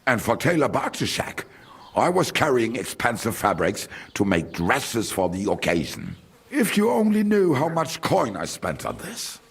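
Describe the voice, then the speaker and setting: A husky, animated German merchant. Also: male voice, mid-range voice, unhurried, full character voice. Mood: aggrieved. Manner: slips German phrases into speech, condescending. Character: grumbling male German village merchant, brusque and worldly. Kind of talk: video game character dialogue.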